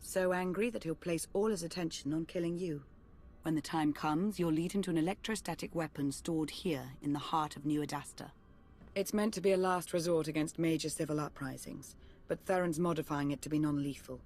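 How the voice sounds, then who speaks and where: A woman playing a cool, calculating intelligence chief in a video game cutscene, measured, clipped and dry. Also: low voice, darkish tone, close to an everyday voice. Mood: dry, businesslike.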